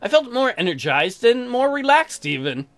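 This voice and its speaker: A man's voice: very high voice, very animated, moderate pace. A man doing casual, crude and sarcastic let's play commentary, swearing and reading game text aloud. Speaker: gaming video host. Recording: music behind the voice. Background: game music.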